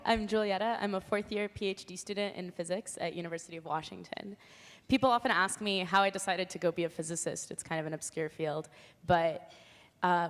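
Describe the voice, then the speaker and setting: A young woman from Argentina explains her physics research earnestly, with a slightly low voice and small fillers. She speaks from the stage of a large hall, and long reverb trails each phrase. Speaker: PhD student speaker. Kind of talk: prepared talk at an awards event.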